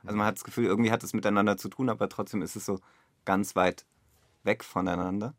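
A man talks as a director in a reflective interview. His voice sits fairly low, and the recording is dry, with hardly any room sound. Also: steady tone, fast talker, no echo. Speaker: radio play director, interviewee.

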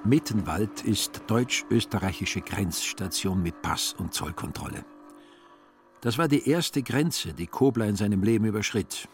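A man narrating a literary radio play in a measured, ironic storytelling manner. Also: fairly low pitch.

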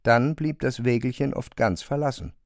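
A man narrating a literary radio drama in a measured, precise way, as a composed observer. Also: medium pitch, fairly even intonation, gravelly, natural voice. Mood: measured, observant. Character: male narrator of an absurd bureaucratic tale, observant and composed.

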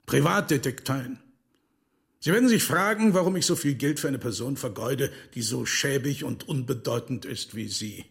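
Actor as gravelly, coldly menacing rich father. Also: medium pitch, natural voice. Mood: contemptuous. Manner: measured, condescending, pointed. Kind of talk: dubbed 1950s film thriller acting.

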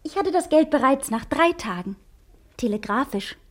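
A determined wife in a TV crime serial. Her high female voice swings widely in melody, brisk and sharp-tongued, teasing with complete self-assurance. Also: animated. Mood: indignant, insistent.

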